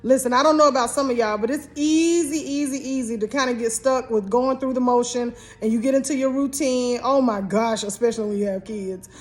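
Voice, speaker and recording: High-voiced American woman in her fifties. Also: brisk, few pauses, faint music behind. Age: 50s. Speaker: co-host giving marriage advice. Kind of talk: casual motivational relationship talk.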